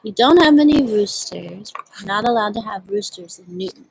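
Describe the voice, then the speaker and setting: A woman with a husky voice hosting a storytime for young children, speaking gently and in simple phrases as she reads and chats outdoors. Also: medium pitch, animated, normal speed, a little hiss or hum, low-quality recording. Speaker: children's storytime host at a community farm. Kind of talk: storybook read-aloud and casual chat to children. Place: outdoors at a farm.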